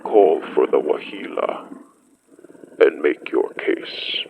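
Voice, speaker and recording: An actor playing an ancient, solemn and menacing spirit beast with a breathy, growling voice and slow, archaic phrasing in an audio drama. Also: very deep voice, whispered, phone-quality sound, heavily acted.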